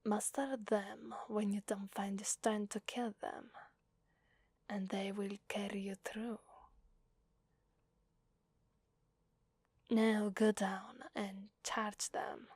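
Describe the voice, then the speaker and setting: A woman from Italy playing a sadistic, playful female demon in a whispered ASMR roleplay, teasing and menacing in a soft, breathy voice. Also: medium pitch, no echo. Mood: gleeful contempt. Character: sadistic, playful female demon mistress who relishes blood.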